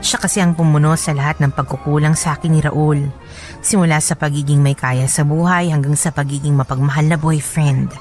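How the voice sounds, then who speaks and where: A woman playing a letter sender who confesses a secret affair in measured radio drama narration. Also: low-pitched, fairly even intonation, darkish tone, soft background music, lightly acted. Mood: confessional.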